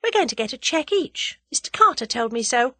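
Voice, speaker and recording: A woman's voice: high-pitched, big pitch swings, fairly quick, pushed and bright, dry recording, pitched above the performer's own voice, full character voice. A woman voicing a spirited, teasing young woman in love in an audiobook, playful and eager. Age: young adult. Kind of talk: audiobook character dialogue.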